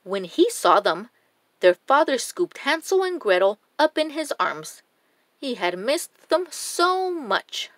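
A woman reads a children's story aloud, taking her time over each phrase. Her pitch moves in a lively way and the sound is close and dry. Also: no echo. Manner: deliberate, expressive. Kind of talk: expressive scripted storybook narration.

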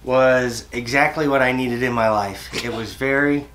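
A middle-aged man giving a casual, joking mock review from his bed, with playful formality and hesitant pauses. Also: mid-range voice, fairly bright tone, a little hiss or hum. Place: bedroom, sitting up in bed.

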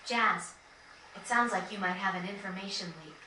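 A woman's voice: medium pitch, steady tone, moderate pace, bright, forceful tone, natural voice. A woman playing a spirited, frank young female fighter in a video game, recorded off a TV. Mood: serious. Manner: teasing, frank. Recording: roomy, distant, faintly noisy TV capture.

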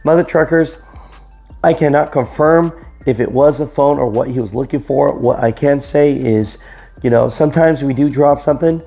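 Gravelly man hosting a trucking news show. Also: mid-range voice, moderate pace, mellow, faint music behind. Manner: chatty, addresses viewers directly, frequent "you know" and "uh". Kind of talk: casual news commentary to camera. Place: living room, seated on a couch. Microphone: clip-on lapel mic.

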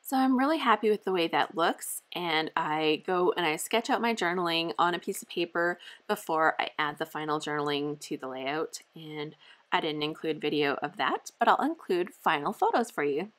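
A woman's voice: deep voice, animated, moderate pace, a bit bright. Chatty woman narrating a craft video. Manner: chatty, self-amused, with frequent light laughs. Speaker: scrapbooking video host. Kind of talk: casual crafting voice-over narration.

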